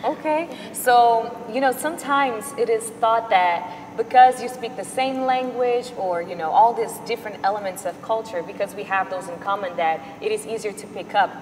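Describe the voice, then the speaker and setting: A young woman from the United States hosting a studio interview, asking measured questions with many "you know"s. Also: mid-range voice, in a large hall, a little hiss or hum, rough recording. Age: young adult. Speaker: talk show host. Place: indoor studio set. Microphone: lapel mic.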